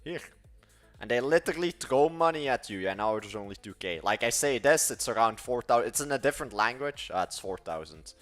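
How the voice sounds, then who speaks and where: A man's voice: mid-range voice, lively intonation, a little fast, fairly bright tone, faint music behind. A young man with a Dutch accent chatting casually on a livestream, rambling and correcting himself as he goes. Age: young adult. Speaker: livestream host.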